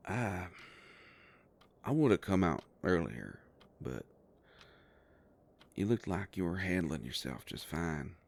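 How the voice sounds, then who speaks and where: A man playing a gruff, protective werewolf private eye in an audio roleplay, with a deep gravelly voice and a folksy, halting, gently teasing way of talking. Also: sing-song, heavily acted. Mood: concerned, gentle. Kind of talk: scripted roleplay audio drama, intimate.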